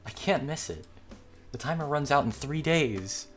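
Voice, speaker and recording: An aloof young gamer woman from a visual novel, put on by a man. The delivery is earnest, self-absorbed and animated, over game music. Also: on the high side, moderate pace, pitched above the performer's own voice, close to an everyday voice. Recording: music behind the voice.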